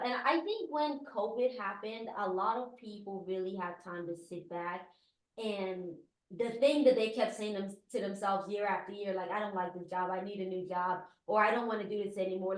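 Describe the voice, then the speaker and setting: A woman from Guyana talks through her answers in an earnest, chatty way, leaning on filler words. Her voice is mid-pitched and sounds echoey, as though recorded in a bare room. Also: moderate pace. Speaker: guest, business consultant. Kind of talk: conversational interview answers.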